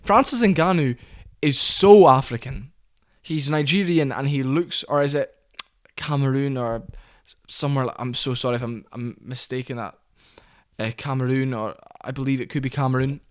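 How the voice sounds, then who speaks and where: A man's voice: medium pitch, normal speed. A man giving heated, opinionated commentary on fighters, often quoting others in put-on voices. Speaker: commentary channel host.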